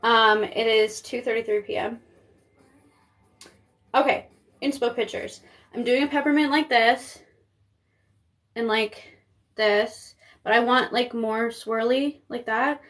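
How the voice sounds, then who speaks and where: A woman in her twenties from Maine chatting casually on a live stream while she paints, full of fillers and quick asides. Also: a little high, fairly even intonation, a bit bright. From Maine, United States. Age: 20s. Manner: chatty, rambling, with frequent fillers and giggles. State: pregnant. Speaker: makeup artist streaming live.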